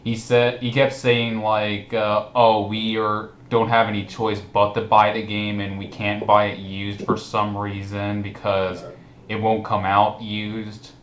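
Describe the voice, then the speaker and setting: A man's voice: lowish voice, completely flat delivery, a little slow, a bit bright. A man hosting a casual group commentary chat, talking informally with friends. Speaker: host. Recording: roomy echo with some background noise.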